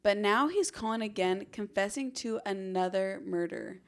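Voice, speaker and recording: Woman, medium pitch, podcast co-host, informal and chatty, conversational true-crime storytelling. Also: moderate pace. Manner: informal, chatty, acts out imagined remarks.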